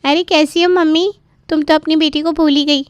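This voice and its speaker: A woman's voice: sits high, fairly even intonation, moderate pace, no room sound, lightly acted. A woman voicing a chatty grown daughter who fusses over her mother in a cartoon story, full of questions. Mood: chatty, concerned. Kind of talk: animated moral story dialogue.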